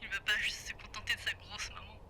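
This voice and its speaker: Woman acting a bright, sardonic pregnant wife. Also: medium pitch, level tone, fast, slight background noise. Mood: tender, pleading. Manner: casual, sardonic, affectionate. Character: pregnant young wife, teasing, tender and sarcastic. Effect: private radio line. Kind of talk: naturalistic audio drama dialogue.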